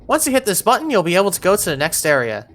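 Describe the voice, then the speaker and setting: Female game guide narrator, mid-pitched with animated delivery, encouraging and instructional, faint music underneath. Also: rapid-fire. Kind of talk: instructional game walkthrough commentary.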